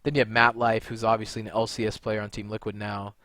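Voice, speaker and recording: A man's voice: fairly low pitch, level tone, quick pace, fairly bright tone, no room sound. A young man from California talking in a rambling, blunt monologue, full of fillers and restarts. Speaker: video creator and gamer. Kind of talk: unscripted monologue to camera.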